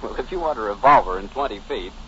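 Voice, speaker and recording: A man's voice: lowish voice, animated, fast, pushed and bright. A man with an American accent announcing on 1940s radio, with a folksy but polished sales delivery. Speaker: radio announcer and sponsor pitchman. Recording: muffled, noisy 1940s radio recording.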